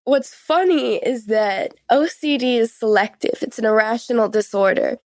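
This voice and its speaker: A woman's voice: on the high side, moderate pace, dry recording, clean studio sound. A young woman in her late teens talking frankly and informally about her own experiences as an interview guest, often saying "like". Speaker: podcast guest. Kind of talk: personal interview, recounting experiences.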